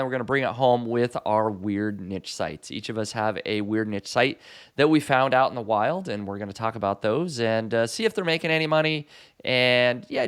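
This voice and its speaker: A middle-aged man hosting a casual podcast chat on a boom mic, relaxed and easygoing, with frequent "uh" and "um" fillers. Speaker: podcast host. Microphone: studio boom mic.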